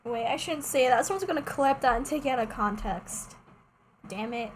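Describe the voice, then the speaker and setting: A young woman streamer with a fairly high voice chats casually through a game. She is playful and slangy, given to exclamations, with faint game noise behind her. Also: normal speed. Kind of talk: casual live gaming commentary.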